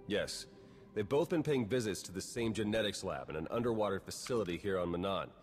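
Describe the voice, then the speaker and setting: A man's voice: fairly low pitch, expressive, brisk, slightly rough, soft background music. An actor playing a wry, cynical male spy in a video game, dry and understated. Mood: briefing, determined. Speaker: intelligence agent character. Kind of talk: video game cutscene acting. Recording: long hall reverb, noisy ambience behind.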